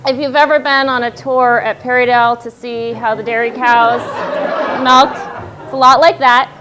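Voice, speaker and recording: A woman in her thirties telling a wry, self-deprecating story on stage at a live storytelling night, in a loud voice. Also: a little high, little pitch movement, moderate pace, music in the background, crowd noise, low-quality recording.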